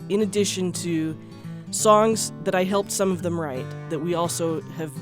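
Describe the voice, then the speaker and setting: A woman's voice: fairly low pitch, normal speed. A woman, a musician and music teacher, telling her story casually in an interview. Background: guitar music.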